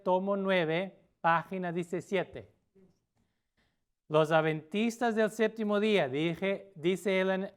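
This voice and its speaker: A middle-aged man from Portugal, a native Portuguese speaker, preaching a sermon as a pastor with an earnest manner and frequent pauses. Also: high-pitched, clear, resonant tone. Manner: earnest, with pauses and filler sounds.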